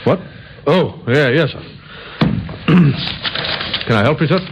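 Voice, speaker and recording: An actor playing a deferential, slightly puzzled police sergeant in an old radio drama, plain-spoken and obedient. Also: male voice, a little high, animated, slow, highs cut off. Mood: dutiful, puzzled. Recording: hissy old radio recording.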